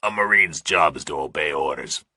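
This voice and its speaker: Man acting a gruff, weary ex-Marine, very deep. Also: animated, moderate pace, sharp tone, no echo, clean studio sound, exaggerated voice. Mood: bitter, resigned. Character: Hobart, bitter wounded ex-Marine, blunt and weary.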